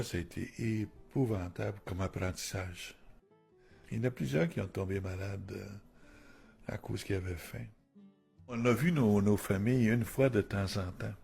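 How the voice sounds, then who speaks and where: Male survivor; gravelly, fairly low, conversational. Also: darkish tone. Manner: plain, conversational, with fillers. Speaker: residential school survivor. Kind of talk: testimony.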